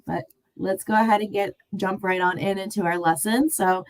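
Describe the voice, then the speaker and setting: A woman's voice: medium pitch, steady tone, moderate pace. A woman nutrition educator giving an online class, upbeat and chatty, sounding a little nasal and congested after laryngitis. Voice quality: slightly nasal. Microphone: video call.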